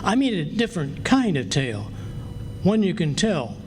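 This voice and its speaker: A high, animated voice from a man acting a wisecracking, exasperated husband in a radio comedy. He grumbles and gets worked up easily. The live stage recording has a faint noisy background. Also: a little slow.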